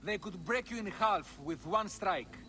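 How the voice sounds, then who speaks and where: An actor playing a fervent, awestruck fan of gladiators in a video game. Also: male voice, sits high, expressive, a little slow, sharp tone, slight background noise, exaggerated voice. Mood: reverent, excited. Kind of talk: video game cutscene acting.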